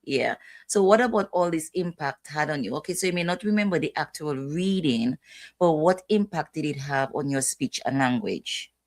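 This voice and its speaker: A woman's voice: low-pitched, expressive, moderate pace. A middle-aged woman hosting a casual family chat, talking in a rambling, chatty way with asides. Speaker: host, mother.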